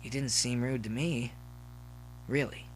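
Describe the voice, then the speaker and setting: A man's voice: mid-range voice, steady tone, unhurried, a little hiss or hum, close to an everyday voice, poor audio. A man voicing an easygoing, cynical young male pony in an audiobook, casual and breezy. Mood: reassuring, breezy.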